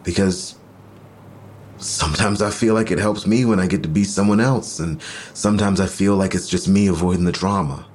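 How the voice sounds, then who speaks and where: An actor playing an earnest, vulnerable boyfriend in an audio drama, speaking in a halting, searching way. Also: male voice, low voice, darkish tone, slight background noise, lightly acted. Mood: earnest, confiding. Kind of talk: naturalistic audio drama dialogue.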